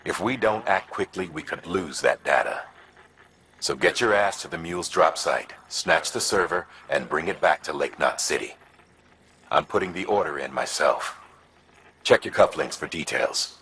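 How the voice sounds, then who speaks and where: A gruff, authoritative middle-aged commander in a dramatic game. His voice is very low and forceful, with blunt, brisk delivery. A faint layer of noise sits behind him. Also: lively intonation, exaggerated voice, rough recording. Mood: urgent, commanding. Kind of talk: dramatic video game briefing.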